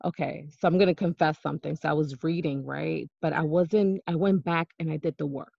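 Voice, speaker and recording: Casting: very low, gravelly woman guest, earnest and agreeable, dry lo-fi sound. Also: fairly even intonation, brisk, darkish tone, no echo. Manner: earnest, affirming, laughs along. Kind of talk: casual conversational interview.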